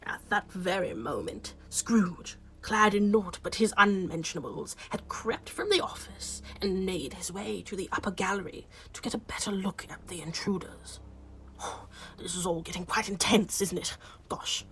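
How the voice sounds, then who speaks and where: A woman narrating a radio play as a storyteller, theatrical and breathy. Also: mid-range voice, moderate pace, slight background noise, low-quality recording. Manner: theatrical, hushed at times.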